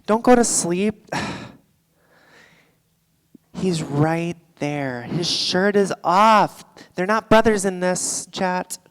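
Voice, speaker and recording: An actress playing an irritable, foul-mouthed, sardonic game streamer in a live staged reading. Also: female voice, lowish voice, drawn-out words, smooth. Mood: exasperated. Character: irritable, foul-mouthed woman video-game livestreamer addressing chat. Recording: echoey theatre hall, live stage.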